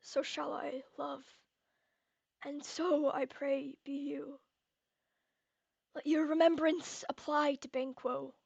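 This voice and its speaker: A young woman acting a brooding, tormented, murder-plotting king in Shakespearean verse, moving between hushed and declamatory delivery. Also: sits high, level tone, slow, gravelly, long pauses, no echo, muffled recording, exaggerated voice. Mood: brooding, secretive. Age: young adult.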